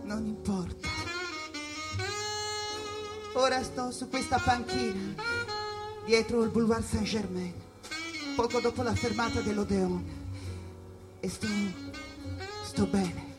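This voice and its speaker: An actor playing a tormented, restless jazz musician in a theatrical, impassioned radio monologue. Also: male voice, high voice, steady tone, slow pace, pauses often, loud music behind the voice. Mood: brooding. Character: tormented, drug-worn male jazz musician, restless and defiant.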